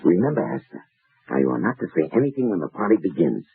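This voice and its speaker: An actor playing a pompous middle-aged manager in a 1940s radio comedy, speaking in stiff, formal phrases and often exasperated. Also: male voice, sits low, big pitch swings, quick pace, soft background music. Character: pompous middle-aged man coaching a naive actress. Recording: scratchy lo-fi old radio broadcast.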